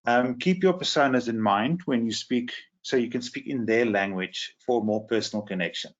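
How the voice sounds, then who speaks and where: Croaky-voiced man on a muffled video call. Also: medium pitch, moderate pace. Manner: hesitant, many fillers. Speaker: social media trainer. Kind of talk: webinar presentation.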